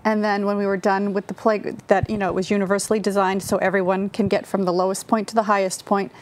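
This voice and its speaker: Relaxed woman, studio guest. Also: medium pitch, fast talker. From Massachusetts. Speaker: guest, nonprofit cofounder. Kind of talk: interview answers.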